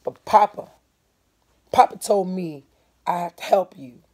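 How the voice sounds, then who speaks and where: A woman's voice: fairly low pitch, a little slow, warm tone. A woman voicing an earnest older brother, a boy in a storybook, speaking emphatically and insistently.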